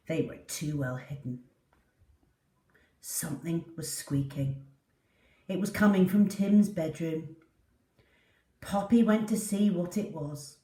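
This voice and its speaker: A woman reading a children's story aloud with theatrical, dramatic delivery. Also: lowish voice. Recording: echoey room, distant from the mic.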